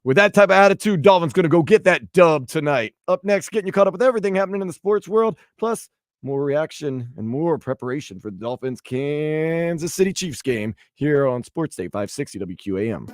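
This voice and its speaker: A man hosting a sports radio show, with a punchy, energetic delivery. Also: a little high, fairly quick, no echo, clean studio sound. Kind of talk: sports radio talk and promo.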